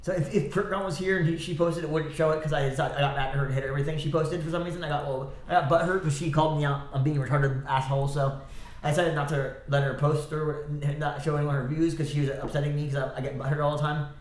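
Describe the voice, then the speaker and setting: A man rambling alone on a livestream, halting and restarting, with a stuffy nose and a slightly scratchy throat. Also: fairly high pitch, steady tone, reverberant. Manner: rambling, halting, many restarts and self-corrections. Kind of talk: rambling solo livestream chat.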